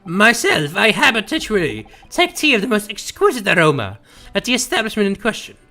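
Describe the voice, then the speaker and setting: Woman doing a pompous old antique dealer guy from a game, florid, pitch swooping all over. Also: very animated, pushed and bright, soft background music. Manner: pompous, ornate, drawn-out phrasing.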